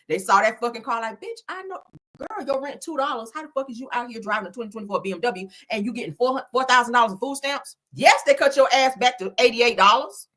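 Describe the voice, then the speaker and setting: A woman giving animated online reaction commentary in a blunt, scolding, emphatic manner, swearing freely and repeating phrases for effect. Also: a little high, fast talker. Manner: blunt, scolding, heavy profanity. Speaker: YouTuber commentating on celebrity and viral topics.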